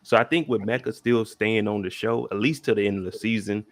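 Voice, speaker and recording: A man hosting a casual chat about a TV episode, relaxed and slangy, asking follow-up questions. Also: lowish voice, fairly quick, dry recording. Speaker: host.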